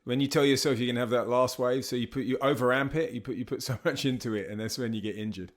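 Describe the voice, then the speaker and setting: A middle-aged man with an English accent, a charity founder chatting as a podcast guest, relaxed and full of surf slang and fillers. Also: mid-range voice, quick pace. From England. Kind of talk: casual interview chat.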